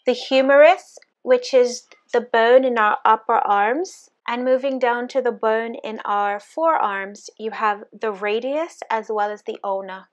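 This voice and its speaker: A woman instructor narrating a scripted anatomy lesson over slides, in a clear, steady, explanatory way. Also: fairly high pitch. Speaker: fitness course instructor. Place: voice-over, not the pictured slides.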